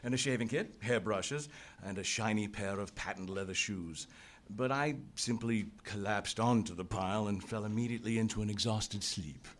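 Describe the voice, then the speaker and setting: An actor playing an earnest young adventurer hero in a live radio play, speaking in dramatic, literary phrasing. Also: male voice, on the low side, moderate pace, fairly bright tone, lightly acted. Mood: weary narration. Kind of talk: live old-time radio play acting and narration.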